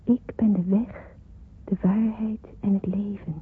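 An actress playing an earnest, devout young woman in wartime hiding in a radio drama, with a husky, breathy voice. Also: medium pitch, fairly even intonation, a little slow. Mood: devout, solemn. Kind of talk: radio drama acting with narrated monologue. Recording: muffled, phone-like radio play recording.

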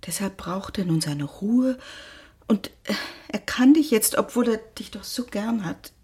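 A tired, breathy mother. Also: medium pitch, lively intonation, unhurried, heavily acted. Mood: hushed. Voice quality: breathy, often whispering. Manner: soft, coaxing, hushed. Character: tired anxious middle-aged mother, gentle.

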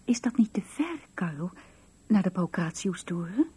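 An actress playing a shy, dreamy woman in a radio drama, with a husky, breathy and hesitant delivery. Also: lowish voice, big pitch swings, warm tone, heavily acted. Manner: hesitant, halting.

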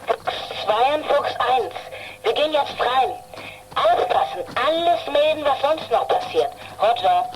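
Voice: high-pitched, expressive, heavy background noise. A performer playing a child detective giving orders over a walkie-talkie in a children's radio play. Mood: commanding. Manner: commanding, brisk radio-code talk.

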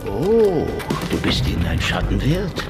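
A man playing a shabby, cynical private detective in a radio drama, gruff and sardonic, with a mock-dim streak. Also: mid-range voice, wildly swooping pitch, takes it slowly. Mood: wry, wary. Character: shabby, wisecracking, cynical hard-boiled male private detective. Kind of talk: hard-boiled radio drama acting. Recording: music behind the voice.

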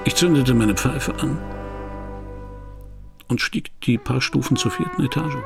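A man's voice: mid-range voice, sing-song, a little slow, creaky. An actor playing a hardboiled private detective who tells his own story in dry, laconic radio drama narration. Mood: dry, reflective. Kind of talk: first-person scripted radio drama narration. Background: music.

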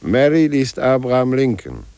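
An actor as a plain, restrained reporting narrator in a 1950s radio drama. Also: male voice, mid-range voice, sing-song, normal speed, darkish tone, clean tone, no room sound, lightly acted. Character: restrained male narrator reporting on a reading woman.

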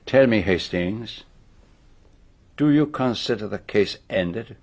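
Formal Belgian sleuth, French accent, lowish husky voice, precise, probing, deliberate, radio play. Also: male voice, lively intonation, heavily acted. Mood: pensive. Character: shrewd, formal, probing Belgian male detective. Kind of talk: radio drama acting.

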